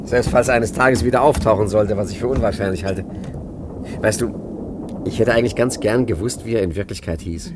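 An actor playing a suave, cunning gentleman adventurer in a German radio play, urbane, wry and smoothly condescending as he recounts his exploits. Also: lowish voice, fairly quick, background noise, natural voice. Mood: pleased, smooth.

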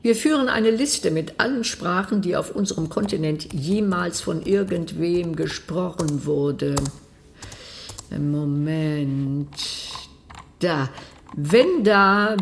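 An actress playing a senior European official, curt and dryly mocking. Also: female voice, lowish voice, gravelly, a little hiss or hum, full character voice. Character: brusque, sarcastic high-ranking female bureaucrat. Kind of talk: radio drama acting.

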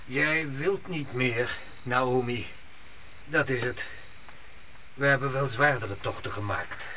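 A stern, bright-voiced patriarch. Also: male voice, medium pitch, takes it slowly. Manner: commanding, solemn. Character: stern, devout father leading his family through the desert. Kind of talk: Dutch radio play acting. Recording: noisy old radio drama recording.

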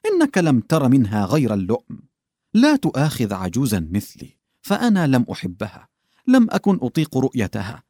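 A man's voice: mid-range voice, huge pitch swings, brisk, warm tone, dry recording, studio quality. A man voicing a tactless, bumbling old male official who fumbles, backtracks and stammers. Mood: grumbling.

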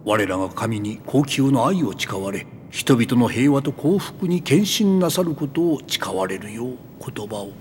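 A pompous high priest , acted by a man declaims formally, with a lively rise and fall. His solemn anime drama speech rings through a long hall reverb. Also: a little slow, hardly any pauses, natural voice. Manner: formal, ceremonial. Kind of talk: Japanese anime voice acting. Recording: hall reverb, faint rumbling noise.

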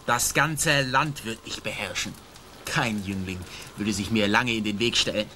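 An actor playing a boastful, swaggering Spanish colonial army sergeant in a theatrical, declamatory radio play style. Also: male voice, on the low side, measured pace, sharp tone, slight background noise. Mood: boastful, fiery.

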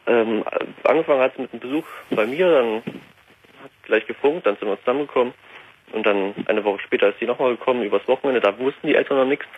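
A man's voice: medium pitch, fast, breathy edge. An eighteen-year-old man calling in to a late-night talk show, speaking hesitantly with many fillers. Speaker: caller. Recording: tinny phone line.

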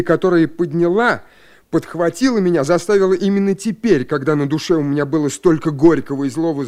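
A man's voice: on the high side, a little mellow, close to an everyday voice. An actor playing a Soviet submarine officer who tells his own story in an earnest, introspective radio drama narration. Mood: earnest, stirred. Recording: 1980 radio play, guitar music behind. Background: guitar music.

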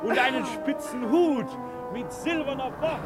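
An actor playing a young working-class craftsman in love, excitable and hopeful, laughing through his lines in a 1950s German radio drama. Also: very high-pitched, unhurried. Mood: giddy, tender. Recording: 1950s radio recording, music behind.

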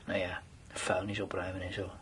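An actor playing a weary, anxious twenty-one-year-old soldier who broods and mutters in a radio drama. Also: male voice, level tone, moderate pace, fairly bright tone. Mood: plain, resigned. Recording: noisy old radio play recording with rumble.

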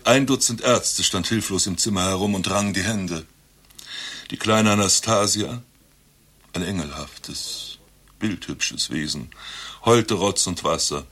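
Husky, forceful deadpan man. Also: deep voice, slow, long pauses, exaggerated voice. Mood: grandiose, storytelling. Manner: deadpan, grandiose storytelling. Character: eccentric doctor claiming to be Rasputin reincarnated. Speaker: doctor recounting his past life. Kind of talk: satirical radio play acting and narration.

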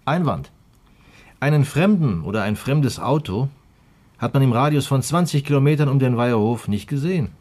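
A man's voice: medium pitch, expressive, moderate pace, a little mellow, lightly acted. An actor in a crime radio play voicing a sober man weighing evidence, factual and dryly objecting.

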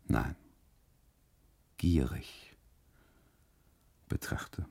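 Very slow, deep, gravelly male narrator. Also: a little mellow, in a whisper. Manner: deliberate, halting phrases with long pauses. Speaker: narrator of a radio monologue. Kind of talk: literary scripted narration, first person.

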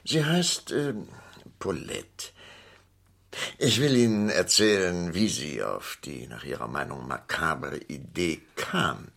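A man's voice: deep voice, lively intonation, takes it slowly, vocal fry, fairly bright tone, full character voice. An actor playing a flustered, scheming Greek shipping businessman in a radio crime play, speaking hesitantly with theatrical emphasis. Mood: wry, explanatory.

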